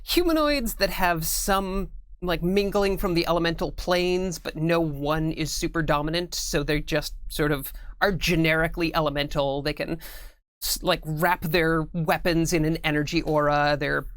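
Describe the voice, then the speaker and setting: A woman's voice: deep voice, normal speed, no room sound. A woman chatting casually as an interview guest, with a wry, joking way of talking. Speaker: guest game writer.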